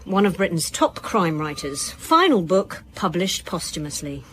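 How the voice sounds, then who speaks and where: A woman playing a wry, brisk police inspector in a naturalistic radio drama, with a dry manner. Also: on the low side, sing-song, fairly bright tone, a little hiss or hum. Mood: brisk, businesslike. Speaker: actor in an audio drama.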